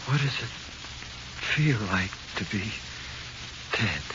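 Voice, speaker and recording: An actor playing a frightened small-time killer in 1940s radio horror, with nervous, halting, slangy talk. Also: male voice, fairly low pitch, animated. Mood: fearful, questioning. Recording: muffled hissy 1940s radio recording.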